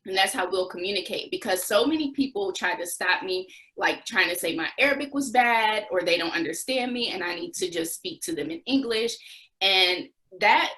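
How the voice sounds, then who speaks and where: A woman's voice: medium pitch, lively intonation, moderate pace, pushed and bright. A young adult American woman speaking as an interview guest, telling her experiences conversationally and acting out bits of dialogue. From United States. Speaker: interview guest, former Peace Corps volunteer.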